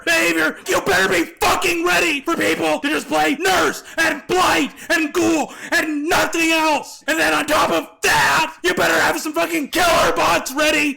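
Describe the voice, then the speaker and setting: Young guy raging about a game patch, high bright voice, yells and stumbles over words, voice going hoarse. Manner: furious, swearing, shouting in bursts, stammering repeats. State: losing his voice. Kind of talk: angry gaming rant.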